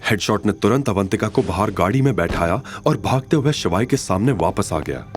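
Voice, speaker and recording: A man with a fairly low voice narrates a dramatic audio story. His delivery is scripted storytelling. Soft music sits behind him. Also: expressive, fairly quick.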